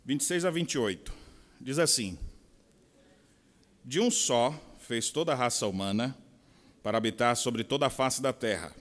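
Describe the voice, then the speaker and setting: A middle-aged man with a Bahian Portuguese accent, preaching a sermon with expressive swings from quiet asides to loud, slow emphasis. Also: medium pitch. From Brazil. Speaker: pastor. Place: large conference hall. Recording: long hall reverb.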